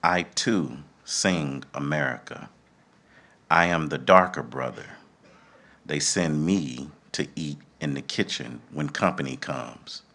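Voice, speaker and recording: A middle-aged man emceeing a live event, speaking in measured, deliberate phrases. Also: a bass voice, creaky, bright, forceful tone. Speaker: event host. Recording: live event with faint background noise.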